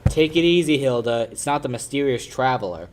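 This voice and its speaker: A man's voice: medium pitch, expressive, a little fast, very noisy, natural voice. A young man plays a brash male lawyer in an old-time radio play. He is stubborn and talks in the manner of a 1940s drama, with a youthful voice. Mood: brash, insistent. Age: young adult. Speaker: high school actor.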